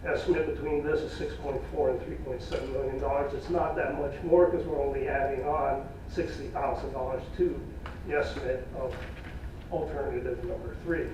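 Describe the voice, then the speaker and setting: A man presenting cost estimates at a public meeting in a plain, folksy way, losing his voice, with halting fillers. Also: medium pitch, steady tone, noisy background. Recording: echoey room from far off, dull.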